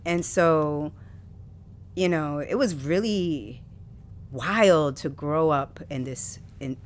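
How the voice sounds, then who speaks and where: Poet guest, New woman, very low voice, candid and lively, faint noise behind. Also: takes it slowly. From New York City. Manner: candid, animated storytelling with frequent laughs. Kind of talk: casual interview conversation.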